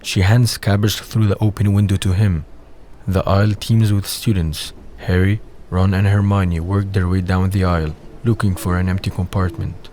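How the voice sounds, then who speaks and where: A man's voice: low voice, little pitch movement, moderate pace, dark tone, a little hiss or hum. A man reading scripted narration for an audio drama.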